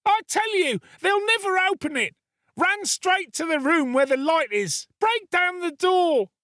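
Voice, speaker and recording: A man's voice: very animated, unhurried, pushed and bright, breathy edge, dry recording, studio quality, heavily acted. A shrieking terrified boy. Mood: screaming. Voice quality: shrill, screaming. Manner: frantic, desperate. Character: terrified frantic young boy screaming for help. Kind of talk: audiobook character voice.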